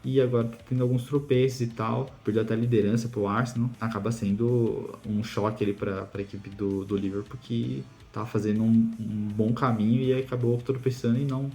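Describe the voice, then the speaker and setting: A man's voice: mid-range voice, flat delivery, fairly quick, creaky, few pauses, mellow, soft background music. A young Brazilian man casually recapping the week's football news, talking chattily with lots of fillers and restarts. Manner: chatty, many fillers and restarts, asks viewers for opinions. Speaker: football news channel host.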